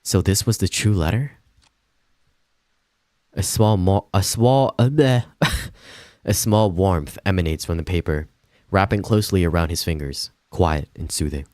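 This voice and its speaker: Deep-voiced man narrating a visual novel. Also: a little slow, darkish tone, no echo, clean studio sound. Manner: informal, swears, stumbles over long words. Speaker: streamer. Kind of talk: reading visual novel narration aloud with casual asides.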